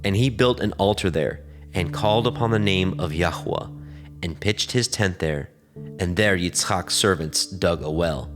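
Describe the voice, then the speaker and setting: A man narrating scripture in a solemn, formal and measured reading style. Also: deep voice. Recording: music under the voice.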